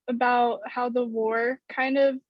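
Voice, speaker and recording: Young woman, even higher voice, dull call audio. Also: slow, no echo. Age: young adult. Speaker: student playwright, panelist. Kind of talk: casual panel discussion. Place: bedroom, indoors.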